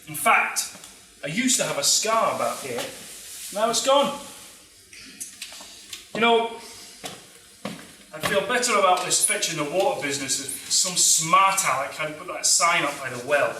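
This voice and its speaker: A man's voice: a little high, lively intonation, measured pace, long pauses, pushed and bright, heavily acted. A man on stage in an amateur play, acting a cheerful, boastful young prince with a jaunty, joking manner. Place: theatre stage, live performance. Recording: echoey stage from far off, slight noise.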